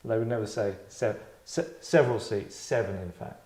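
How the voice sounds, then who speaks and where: A man hosting and directing a table read, chatting casually in a tongue-in-cheek acting critique, deadpan, rambling and self-satisfied. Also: on the low side, moderate pace. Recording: roomy, echoey indoor sound.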